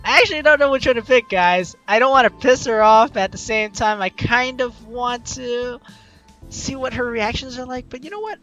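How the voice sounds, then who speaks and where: A young adult host doing casual, playful game commentary and theatrically reading the dialogue aloud in an excitable way. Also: mid-range voice, normal speed, highs cut off. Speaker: let's play host. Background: faint game music.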